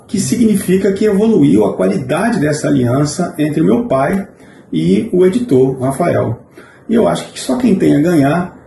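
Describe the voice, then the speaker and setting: A man's voice: fairly high pitch, gravelly, warm tone. A middle-aged Brazilian man giving a reflective spoken testimonial. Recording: roomy echo, background noise.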